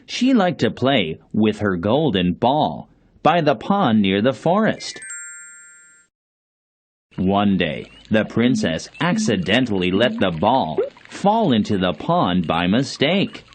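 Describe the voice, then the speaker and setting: A man's voice: on the low side, big pitch swings, slow pace, slight background noise. A man reading scripted fairy-tale narration for children as storyteller.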